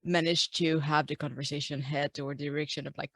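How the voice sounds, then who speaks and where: A woman playing a tabletop game, casually and haltingly thinking aloud as she discusses what to do. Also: sits low, flat delivery, a bit bright, no echo, clean studio sound.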